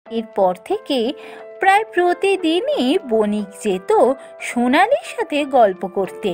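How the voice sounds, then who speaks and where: A woman's voice: sits high, sing-song, slow, faint music behind. A woman giving scripted storytelling narration for a folk-tale cartoon.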